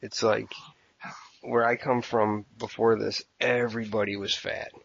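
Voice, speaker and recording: Blunt teasing guy on a podcast, gravelly low voice, dry muffled lo-fi sound. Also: flat delivery, unhurried, fairly bright tone, dry recording. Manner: blunt, teasing, swears freely. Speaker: podcast co-host. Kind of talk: casual banter. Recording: dry, dull lo-fi podcast audio.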